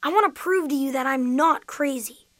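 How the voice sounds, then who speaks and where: A woman playing an excitable, insistent young boy in an audio drama. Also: high voice, moderate pace, slight background noise. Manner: insistent, urgent.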